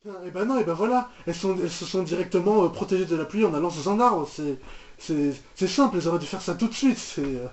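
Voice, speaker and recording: High-voiced man rambling in a roomy recording. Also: animated, fast talker, darkish tone, a little hiss or hum. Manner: rambling, repetitive, mock-exasperated. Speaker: reaction video host. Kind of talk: casual reaction commentary on a cartoon. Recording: roomy, dull lo-fi microphone sound.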